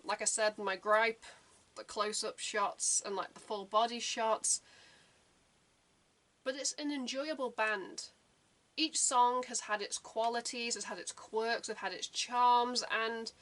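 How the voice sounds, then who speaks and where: A woman's voice: mid-range voice, bright, forceful tone. A young adult woman hosting a reaction channel, talking casually in a rambling, chatty way with lots of fillers.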